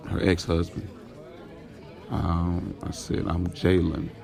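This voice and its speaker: Man playing a very low, gravelly storyteller. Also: monotone, slow, crowd noise, full character voice. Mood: casual recounting. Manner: informal, slangy, acting out dialogue. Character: Jalen, smitten male driver recounting his night candidly. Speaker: narrator and lead character of an audio drama. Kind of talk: conversational first-person storytelling in audio drama.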